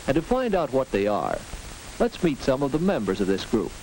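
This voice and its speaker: Lively American man narrating old educational film. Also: medium pitch, moderate pace, rough recording. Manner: instructive, clearly addressing the viewer. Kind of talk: scripted mid-century educational film narration. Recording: old film soundtrack with hiss, clicks.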